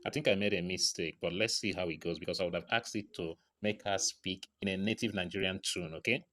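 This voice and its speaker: A man's voice: deep voice, brisk, fairly bright tone. A man narrating a casual step-by-step screen tutorial, often checking in with short tags like right and okay. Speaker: tutorial presenter.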